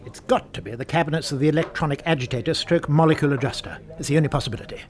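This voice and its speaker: An actor with a British accent playing an eager, unflappable amateur scientist in a radio drama, explaining things with pedantic enthusiasm. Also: male voice, fairly high pitch, rapid-fire, close to an everyday voice. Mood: confident, explaining.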